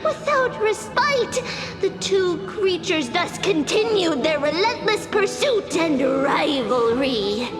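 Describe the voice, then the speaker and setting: A tiny fairy storyteller in a video game, girlish and extremely high. She narrates slowly and grandly, with playful theatrical flourish. Loud music swells behind her. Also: very animated, long reverb tail, exaggerated voice. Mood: solemn storytelling.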